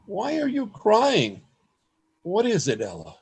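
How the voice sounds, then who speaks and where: A man plays an earnest, fearful poet in love, in a radio drama. The voice is fairly high for a man and quite husky. The delivery is formal and theatrical, like journal entries read aloud, captured on a video call. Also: huge pitch swings, takes it slowly, exaggerated voice. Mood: concerned, urgent. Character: earnest, lovestruck, fearful male poet keeping a secret journal. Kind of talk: radio play acting, journal narration and dialogue. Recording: amateur performance over video call.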